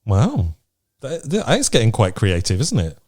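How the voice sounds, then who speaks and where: A man's voice: on the low side, huge pitch swings, unhurried, a little mellow. A British man co-hosting a podcast, chatting casually and reading out lists in a frank, playful way. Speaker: podcast co-host. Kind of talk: casual chat, reading out from a list.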